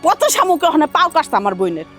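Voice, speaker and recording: A woman's voice: high voice, very animated, racing, a bit bright, faint music behind. An actress playing a haughty city woman who scolds and sneers at her rural relatives. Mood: contemptuous. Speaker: actress in a family drama.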